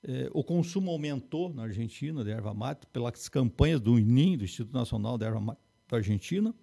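A man in his fifties with a gaúcho accent from southern Brazil, a forestry engineer explaining as a guest in a small TV studio, reciting figures in a steady way. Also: medium pitch, brisk, creaky. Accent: Rio Grande do Sul gaúcho Portuguese.

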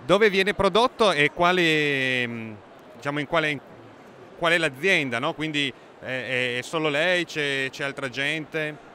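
A man interviews exhibitors with a bright, forward voice pitched a little high. He rambles and rephrases his questions, with a faint fair hum behind. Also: measured pace. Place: busy indoor trade fair hall. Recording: faint background noise.

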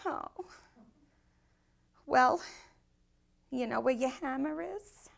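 A woman's voice: high voice, very slow, creaky, voice pushed up, exaggerated voice. A woman with an American accent playing an exasperated, practical partner to a broke detective in an improvised radio play. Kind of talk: improvised old-time radio play acting.

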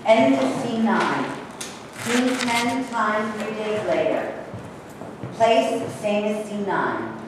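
A woman's voice: medium pitch, big pitch swings, slow pace. A woman giving scripted narration as the reader of stage directions. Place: indoor rehearsal room, far camera mic. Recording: echoey hall from far off, clear noise.

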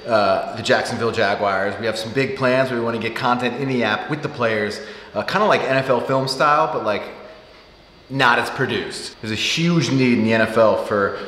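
A man in his thirties chats to camera for his vlog, upbeat and loose with plenty of "uh" and "like". His voice sits at an ordinary middle pitch. The room is echoey, with steady background noise. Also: normal speed. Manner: excited, chatty, filler-heavy. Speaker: vlogger. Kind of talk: casual vlog talk to camera. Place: studio in front of a green screen.

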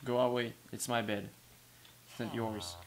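A young man who is not a native English speaker, excitedly commentating his own gameplay from a headset in a small room, stumbling and exclaiming often. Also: medium pitch, a little slow, slight background noise. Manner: excitable, hesitant, frequent exclamations. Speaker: gaming channel host.

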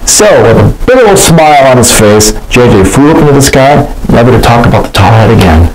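Animated tongue-in-cheek man reading a story. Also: medium pitch, brisk, music in the background, low-quality recording. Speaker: storytime host. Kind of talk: mock-earnest children's story reading with asides. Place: small home bathroom. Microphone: lapel mic. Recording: noticeable background noise.

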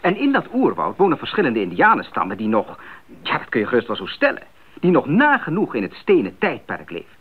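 Earnest young missionary in an old radio play, animated, explaining, tinny sound. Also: male voice, medium pitch, brisk, slightly rough. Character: earnest, idealistic young male missionary, grown son. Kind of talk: 1980s Dutch radio drama acting. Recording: thin band-limited old radio recording.

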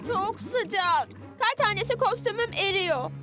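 A woman voicing a practical, inventive little girl in a dubbed children's fantasy cartoon, with an expressive delivery. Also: very high voice, a little slow. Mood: practical, uneasy. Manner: expressive, questioning. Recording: loud music under the voice.

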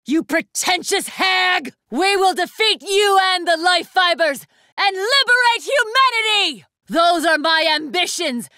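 A woman's voice: very high voice, animated, measured pace, sharp tone, no room sound, studio quality, full character voice. An actress playing an imperious, ruthless young woman warrior, speaking in formal, haughty declamations for an anime fighting game. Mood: furious, defiant.

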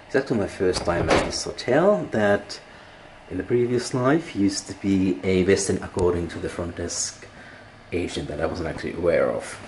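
A man giving a casual, unscripted hotel room walk-through as a travel blogger, halting and repeating words, recorded on a handheld camera. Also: low-pitched, darkish tone, a little hiss or hum, poor audio. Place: indoors, moving around a hotel room. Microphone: handheld camera, operator behind it.

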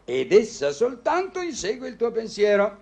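Gravelly, animated, knowing doctor. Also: male voice, extremely high pitch, normal speed, full character voice. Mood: teasing, insistent. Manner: theatrical, emphatic, insistent. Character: knowing, teasing male doctor, insistent confidant. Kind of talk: vintage Italian radio comedy acting.

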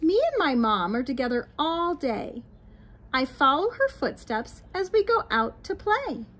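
A middle-aged American woman reading a picture book aloud to young children in an expressive, sing-song way, outdoors on a patio. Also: sits high, measured pace. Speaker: children's read-aloud host, teacher. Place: small backyard patio, outdoors. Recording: light outdoor background noise.

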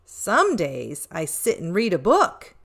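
A middle-aged woman hosting a children's show, reading aloud expressively and deliberately in a sing-song way for toddlers. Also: lowish voice, huge pitch swings, vocal fry, a bit bright.

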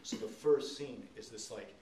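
A middle-aged man speaking as an author at a public talk, explaining and telling stories in an earnest, emphatic way with halting pauses. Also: fairly low pitch, dark tone. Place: indoor event room, seated on stage. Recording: roomy, distant camcorder sound, faint noise.

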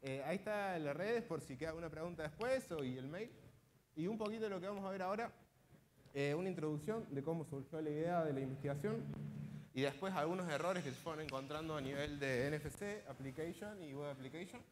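The young Argentine guy on stage at a tech meetup, telling his story casually in a lightish, higher voice that rings around the big auditorium. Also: brisk, a little hiss or hum. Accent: Argentine Spanish. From Córdoba province, Argentina. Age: 20s. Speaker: conference speaker, software engineer. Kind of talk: informal conference presentation. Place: large auditorium stage, indoors. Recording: reverberant hall sound from a stage.

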